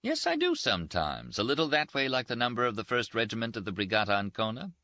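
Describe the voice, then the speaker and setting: A man voicing a brash, teasing young Italian army surgeon in an audiobook, quick and boastful. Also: mid-range voice, huge pitch swings, bright, forceful tone, lo-fi sound, voice pushed up. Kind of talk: audiobook character voice.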